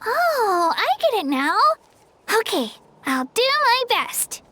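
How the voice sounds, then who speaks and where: Small game sidekick girl: very high, bouncy, eager. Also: very animated, takes it slowly, fairly bright tone, exaggerated voice. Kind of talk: game cutscene voice acting.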